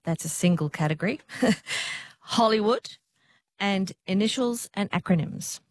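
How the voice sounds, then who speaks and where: A woman hosting a live radio quiz in a studio, chatty and quick to laugh. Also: medium pitch, slight background noise.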